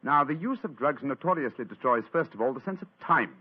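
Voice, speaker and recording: An actor playing a suave, teasing master detective in an old radio drama, with precise, theatrical delivery. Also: male voice, medium pitch, lively intonation, a little fast, husky. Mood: incisive. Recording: dull old radio recording.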